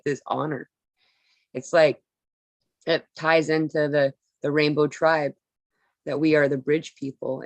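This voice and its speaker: A woman's voice: low voice, no echo. A woman speaking earnestly in a casual spiritual talk, explaining her ideas in long flowing run-on sentences.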